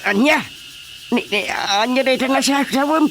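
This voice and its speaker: An actor as a flustered husband in a comic radio drama. He talks haltingly, breaking into groans and interjections. Faint night noise sits behind the voice. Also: extremely high pitch, creaky, fairly bright tone, heavily acted. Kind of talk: Ilocano radio drama comedy acting.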